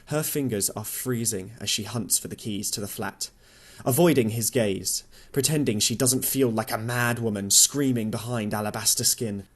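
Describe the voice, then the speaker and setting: A man reads a literary novel as an audiobook narrator. His voice sits at an average pitch for a man. The delivery is that of scripted prose narration.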